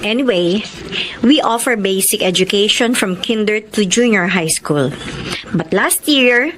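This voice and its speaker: A woman's voice: medium pitch, animated, unhurried, a bit bright, a little hiss or hum, rough recording. An actress playing a generous, motherly middle-aged employer in a Filipino radio drama, composed and slipping English into her speech. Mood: proud. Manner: composed, mixes English into Tagalog.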